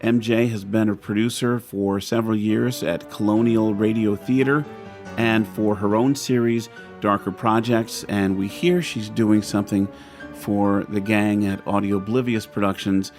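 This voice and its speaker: A man's voice: lowish voice, fairly even intonation, unhurried, a little mellow, soft background music. A man hosting an audio drama show, reading closing credits in a chatty, informal way. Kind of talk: podcast outro with credits, lightly scripted.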